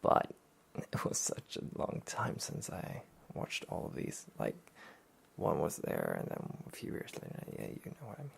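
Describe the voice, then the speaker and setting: A man hosting a soft-spoken, relaxing review show, speaking in a husky, half-whispered, rambling way. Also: deep voice, huge pitch swings, normal speed, slight background noise. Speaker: anime review host.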